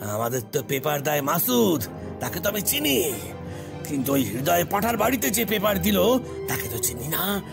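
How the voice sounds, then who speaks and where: A male actor as a reclusive, eccentric older artist in an audio story, frightened and agitated. His voice is fairly high and gravelly, swooping as he stammers and repeats words over a music bed. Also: big pitch swings, normal speed, full character voice. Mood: frightened, conspiratorial.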